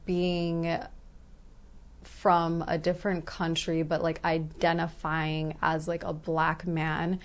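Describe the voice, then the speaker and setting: A woman with a somewhat low voice co-hosts a podcast. She asks curious follow-up questions and encourages her guest with short replies in casual interview talk. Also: monotone, slow.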